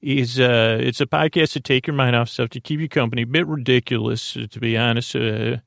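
A man's voice: mid-range voice, steady tone, brisk, gravelly, fairly bright tone, no echo, clean studio sound. A man hosting a bedtime podcast, talking in a soothing, lulling way and wandering off on tangents with many filler sounds. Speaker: podcast host. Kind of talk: rambling bedtime podcast intro.

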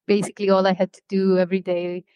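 A woman, young adult, speaks English as an in a podcast interview. She talks in a measured way and stops often to find her words. Her voice sits in an ordinary middle pitch and the recording is close and dry. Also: level tone, warm tone, clear, resonant tone, no room sound. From Greece. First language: Greek. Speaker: author guest.

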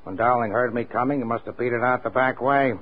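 The sound is narrow and tinny, an old radio serial with a man acting a hard-boiled police sergeant. His voice is mid-pitched, and he is gruff and skeptical, needling with dry remarks. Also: fairly even intonation, fast, natural voice. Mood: brisk, sardonic. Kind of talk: 1940s radio crime drama acting.

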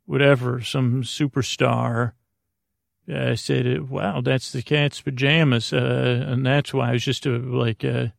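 A man hosting a bedtime podcast in a creaky voice, meandering and halting, often stammering and repeating himself in a soothing, friendly way. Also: mid-range voice, little pitch movement, no room sound, clean studio sound. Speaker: podcast host. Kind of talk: rambling bedtime monologue.

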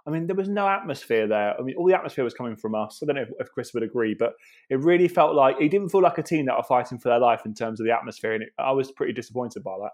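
A man on a football podcast panel talks quickly in casual chat. He gives his views bluntly and critically, at a mid pitch.

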